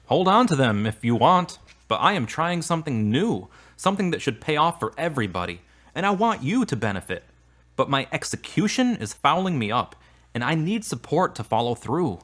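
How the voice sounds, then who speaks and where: A man's voice: a little high, very animated, moderate pace, a bit bright. An actor playing a frustrated, earnest man in audio drama dialogue, speaking in formal, carefully worded phrases. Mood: defensive, heated. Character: frustrated, earnest man in a strained romance, newly religious.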